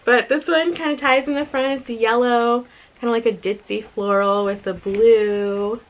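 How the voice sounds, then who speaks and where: A woman with a slightly raspy voice, chatting casually and thinking aloud as she presents an unboxing video with giggly asides. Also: on the high side, fairly even intonation, measured pace, low-quality recording. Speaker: unboxing and review vlogger.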